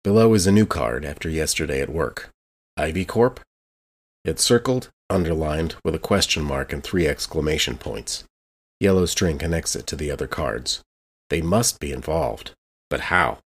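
Man voicing a very deep, husky brooding loner. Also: moderate pace, exaggerated voice. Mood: brooding, uneasy. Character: brooding, suspicious, reclusive man haunted by deaths, narrating his thoughts. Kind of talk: first-person noir monologue, scripted.

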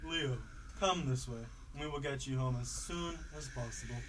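A man voicing calm wise Veggie Bear. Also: mid-range voice, distant mic, slight background noise, lightly acted, low-quality recording. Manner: calm, measured, reassuring. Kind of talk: amateur radio drama acting.

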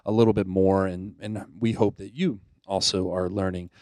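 A man's voice: low voice, steady tone, normal speed, darkish tone, dry recording, studio quality. A man in his thirties from Florida, a youth ministry director, speaking earnestly and plainly as he introduces himself and reads his reflection. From Pensacola, Florida. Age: 30s. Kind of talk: casual chat, then reading a reflection.

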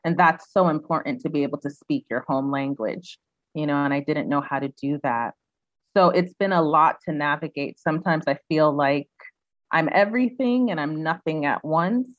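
A woman's voice: deep voice, moderate pace, no room sound, lo-fi sound. A young woman raised in the United States, speaking reflectively as a guest in a casual interview, with many "um"s and "you know"s.

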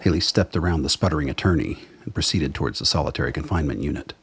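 A man reading scripted dramatic narration as the narrator of a radio play, with a husky voice. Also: very deep voice, rapid-fire, a little hiss or hum.

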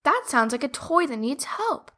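A woman's voice: high voice, big pitch swings, moderate pace, pushed and bright, pitched above the performer's own voice, heavily acted. A woman voicing a kind, helpful young girl in a storybook, speaking cheerfully and eagerly.

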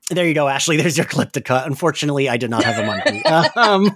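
A man's voice: a little high, big pitch swings, normal speed, a bit bright, a little hiss or hum. A man in his forties hosting a casual podcast, chatty and self-deprecating. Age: 40s. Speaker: podcast host.

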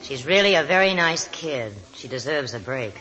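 An actress playing a worldly nightclub hostess, brisk, kind and matter-of-fact, in 1940s radio drama style. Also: female voice, sits low, animated, bright, forceful tone, muffled recording. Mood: easygoing. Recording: 1940s radio broadcast, faint music.

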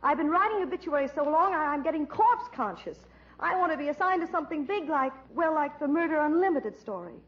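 A woman's voice: high-pitched, expressive, fast. A woman playing a pushy, feisty young would-be reporter with bossy screwball retorts in a radio comedy. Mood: exasperated. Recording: muffled, noisy live stage recording.

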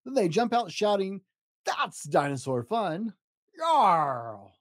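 Man as a small stubborn storybook boy, boisterous, growly dinosaur pretending, wildly animated pitch, dry sound. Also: slow, dry recording, full character voice. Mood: boisterous defiance. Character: defiant little boy playing a roaring dinosaur. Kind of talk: children's storybook character.

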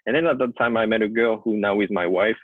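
A young French man with a French accent, a photographer telling his story in a casual interview, often halting and repeating words as he searches for English. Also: fairly low pitch, breathy edge, no echo. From France. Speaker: guest photographer. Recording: tinny call audio, dry.

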